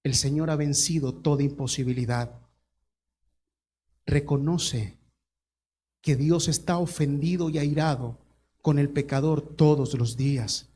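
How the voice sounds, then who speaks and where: A man's voice: fairly high pitch, measured pace, pauses often, a little mellow. A male pastor preaching a sermon, telling a story dramatically and emphatically.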